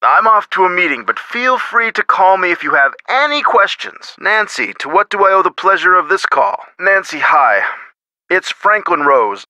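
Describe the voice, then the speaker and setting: An actor playing a genial, avuncular middle-aged lawyer in a video game, talking in a chummy, theatrical way with folksy turns of phrase. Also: male voice, high voice, sing-song, moderate pace, sharp tone, no room sound, heavily acted, poor audio. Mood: cheerful.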